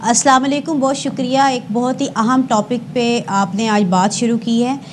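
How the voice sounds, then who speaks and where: A woman with a Pakistani accent and a very high voice speaks as an official spokesperson. She explains things formally, pausing and correcting herself at times. There is faint noise behind her. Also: steady tone. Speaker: emergency service spokesperson, interview guest. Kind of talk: explanatory interview answers with statistics, Urdu mixed with English.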